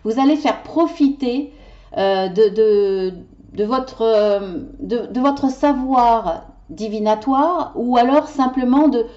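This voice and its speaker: Woman card reader, slightly high and mellow, passionate, stammers as ideas outrun her; dull lo-fi recording. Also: slow. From Italian heritage. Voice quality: passionate, stumbles over words. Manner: animated, talks with her hands. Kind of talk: weekly card reading video.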